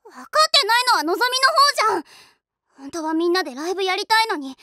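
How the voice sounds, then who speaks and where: Impassioned teenage band girl, anime. Very high, bright, swooping delivery, insistent and childlike. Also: big pitch swings, fast, no room sound, studio quality, full character voice. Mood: angry, pleading. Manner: emotional, insistent, childlike plain speech. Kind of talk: Japanese anime voice acting.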